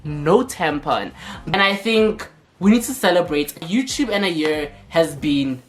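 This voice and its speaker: A woman's voice: deep voice, expressive, measured pace. A woman with a South African accent, talking to camera in a sassy, playful vlog and slipping between languages. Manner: sassy, playful, code-switching banter. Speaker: YouTuber. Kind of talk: casual vlog talk to camera.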